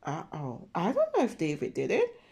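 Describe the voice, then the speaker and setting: A woman's voice: fairly low pitch, huge pitch swings, moderate pace, gravelly, mellow, breathy edge. A woman reading a picture book aloud to young children, explaining simply and checking in with questions. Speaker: children's read-aloud storyteller.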